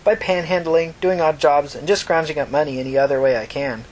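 A man's voice: on the high side, fairly even intonation, brisk, darkish tone, close to an everyday voice, poor audio. A man voicing a blunt, slangy, streetwise teenage runaway girl in a fiction reading. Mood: casual, modest.

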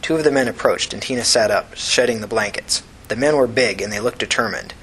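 A man's voice: medium pitch, brisk, a bit bright, husky, poor audio. A man reading scripted fiction aloud as an audiobook narrator.

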